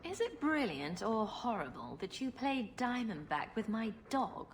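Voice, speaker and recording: A woman's voice: on the high side, very animated, measured pace, big-room sound. An actress playing a wry, sarcastic female rogue adventurer in a fantasy video game, with a dry delivery. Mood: amused. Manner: dry, flippant.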